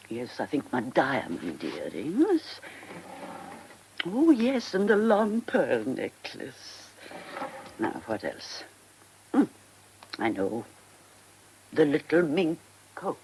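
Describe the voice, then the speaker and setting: Casting: eccentric faded older diva, radio drama monologue, theatrical and halting, very unhurried, faint noise on the recording. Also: female voice, extremely low pitch, a little mellow, full character voice. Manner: theatrical, halting, breathy muttering to herself. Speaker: actress.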